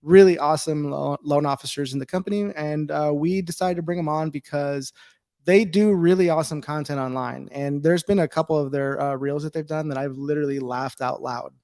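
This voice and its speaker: A man in his forties chats loosely as co-host of a live stream. His voice sits a little high and he laughs easily. The sound is dry and close. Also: steady tone, fairly quick, darkish tone, dry recording. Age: 40s. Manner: laughing, upbeat, rambling. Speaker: livestream co-host. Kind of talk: casual chat.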